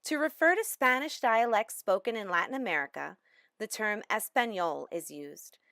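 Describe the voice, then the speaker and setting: A woman giving a measured, explanatory lecture narration as a language instructor. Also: medium pitch, expressive, vocal fry, pushed and bright, no echo, clean studio sound.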